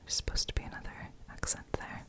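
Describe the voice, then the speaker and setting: A young woman whispering softly while she practises a language, musing aloud and chuckling at her own slips. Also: fast talker. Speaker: ASMR creator.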